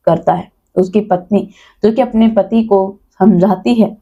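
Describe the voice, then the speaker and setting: A woman's voice: mid-range voice, fairly even intonation, measured pace, phone-quality sound. A woman narrating a scripted dramatic story as a storyteller.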